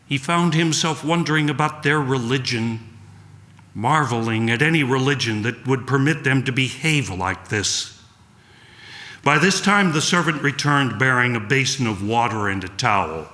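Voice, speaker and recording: A man narrates a solemn sacred drama in a forceful, bright voice. He takes it slowly and deliberately, with theatrical weight, in a room with plenty of echo. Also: medium pitch. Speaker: church service reader. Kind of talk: dramatic scripted narration of a Passion story. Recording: echoey room sound.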